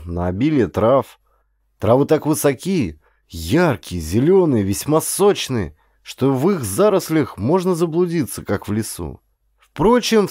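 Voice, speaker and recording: A man narrating a historical adventure novel as an actor would, in an expressive storyteller's manner with colloquial asides and drawn-out phrases. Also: mid-range voice, no room sound, studio quality.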